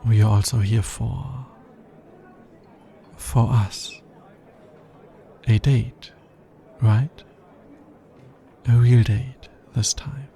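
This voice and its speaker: A man's voice: medium pitch, steady tone, very slow, creaky, pauses often, warm tone. A man playing an anxious, smitten alien scientist in an intimate ASMR roleplay, with hesitant, earnest and precisely formal speech.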